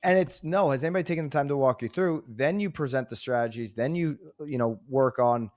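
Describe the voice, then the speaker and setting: A man's voice: mid-range voice, a little fast, darkish tone. A man co-hosting a business podcast, talking loosely and easygoing, with fillers and trailing thoughts. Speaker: podcast co-host. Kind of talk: casual business podcast discussion.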